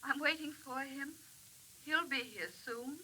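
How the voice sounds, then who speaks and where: A woman's voice: sits high, bright, forceful tone, full character voice. An actress playing the ghostly apparition of a dazed, yearning young woman in a 1930s radio drama, in an eerie manner. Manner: slow, eerie, repetitive calling.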